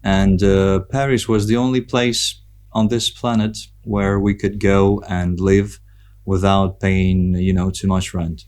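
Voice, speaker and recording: A man from Ukraine with a Ukrainian accent, talking casually and reflectively as a podcast guest, pausing often as he thinks. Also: low voice, little pitch movement, darkish tone. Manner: hesitant, reflective, with frequent pauses. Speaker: podcast guest, photographer and content creator. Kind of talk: casual conversational interview.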